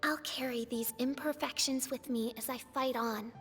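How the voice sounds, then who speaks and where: High, bright, grandiose princess girl. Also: fairly even intonation, moderate pace, in a large hall, faint music behind. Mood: reflective. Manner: grandiose, archaic, declamatory. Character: theatrical teenage girl styling herself a fantasy princess. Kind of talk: video game character acting.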